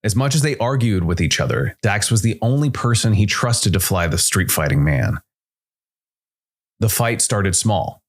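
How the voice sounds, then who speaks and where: A man's voice: on the low side, big pitch swings, normal speed. A man reading scripted science fiction noir narration as a storyteller. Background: faint music.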